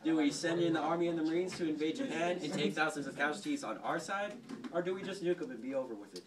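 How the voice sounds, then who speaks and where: A young man with a fairly high voice holds forth in a cocky, halting storytelling style. He is flippant and informal. The mic sits at a distance and other people are clearly chattering around him. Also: a loud crowd. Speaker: storyteller host playing a cadet gunnery sergeant. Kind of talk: informal history storytelling to a small group. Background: several people chattering and interjecting.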